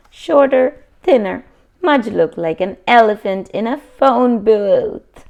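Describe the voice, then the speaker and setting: Woman, children's read-aloud narration, lively pitch, creaky edge, simple explanatory asides. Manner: expressive, simple explanatory asides to young listeners. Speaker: children's book reader.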